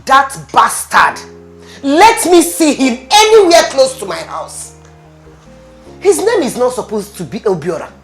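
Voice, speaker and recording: A woman's voice: mid-range voice, wildly swooping pitch, fairly quick, hardly any pauses, warm tone, soft background music. An actress playing an angry mother raging at her daughter's abusive husband. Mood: indignant. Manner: angry, indignant. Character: furious mother of the beaten daughter.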